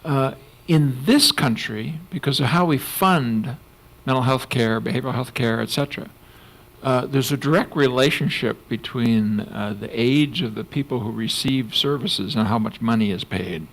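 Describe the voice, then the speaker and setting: An older man, a clinical psychologist speaking on a conference panel, animated and laughing, putting on voices for emphasis. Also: mid-range voice, moderate pace.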